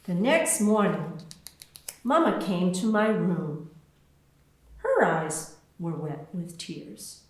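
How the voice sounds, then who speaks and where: A woman reading a children's storybook aloud as its author, with deliberate, expressive phrasing. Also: lowish voice. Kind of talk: scripted storybook narration. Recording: very echoey, roomy sound.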